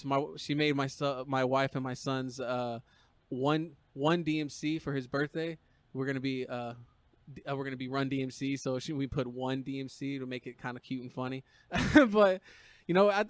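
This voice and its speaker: A man's voice: mid-range voice, dry recording. A man hosting a podcast, asking questions in an easygoing, rambling way with many fillers. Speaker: podcast host. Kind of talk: casual podcast interview.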